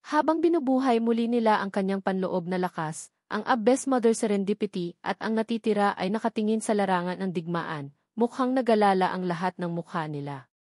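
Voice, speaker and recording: A woman's voice: medium pitch, fairly quick, darkish tone, no room sound. Woman narrator reading a story, dry sound. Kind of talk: scripted audiobook narration of an action novel.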